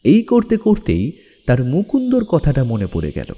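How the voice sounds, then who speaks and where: A man's voice: medium pitch, huge pitch swings, moderate pace, mellow, husky. A man narrating a scripted ghost story in a dramatic, suspenseful manner. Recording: long reverb over background music. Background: music.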